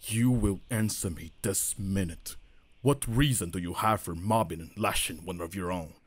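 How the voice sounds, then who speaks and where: A man's voice: low voice, normal speed. A man voicing a proud, anxious dragon in human form in an ASMR roleplay, with haughty, theatrical, brooding delivery. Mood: furious, commanding. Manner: theatrical, brooding muttering with haughty archaic phrasing.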